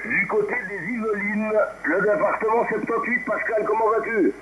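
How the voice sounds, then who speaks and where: A man's voice: sits high, moderate pace. A man comes through muffled, very noisy radio. He runs an amateur radio net in a chatty, rambling way, with casual roll-call phrasing.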